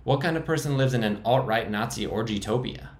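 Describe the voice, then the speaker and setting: A man's voice: medium pitch, fairly quick, lightly acted. A man voicing a fussy, opinionated male survivor who sounds exasperated. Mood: snobbish. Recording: roomy echo.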